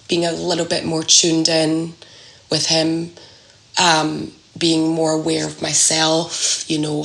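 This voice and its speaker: A woman speaks in a low voice with plenty of gravelly fry. She is reflective and conversational, halting as she looks for the right words. The room rings noticeably around her. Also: a bit bright, roomy sound, rough recording. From Scotland. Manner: halting, thoughtful, searching for words. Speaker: podcast guest sharing a personal story.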